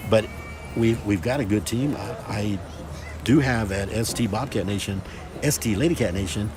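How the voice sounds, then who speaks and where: A middle-aged man hosting a casual livestream of a football scrimmage, loud, talkative and joking. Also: lowish voice, moderate pace. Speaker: livestream sports host. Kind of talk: casual sports commentary chat. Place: outdoors at a football field. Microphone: phone camera. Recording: crowd noise at outdoor game. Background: crowd at a game.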